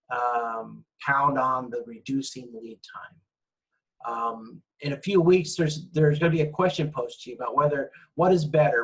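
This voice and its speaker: A man's voice: mid-range voice, rough recording. A man lecturing informally over slides, explaining things in a conversational way and often checking in. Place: online lecture with screen-shared slides.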